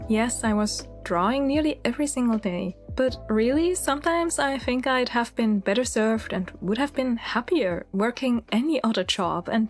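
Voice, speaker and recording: A woman in her thirties with a Swiss German accent, narrating her story in a candid, chatty, reflective voice-over. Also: mid-range voice. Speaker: illustrator and channel host.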